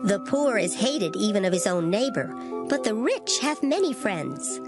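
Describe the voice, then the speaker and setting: A woman giving a dramatized reading of scripture in formal old English, measured and solemn. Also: medium pitch, sing-song. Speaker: scripture reader. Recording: loud music behind the voice. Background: music.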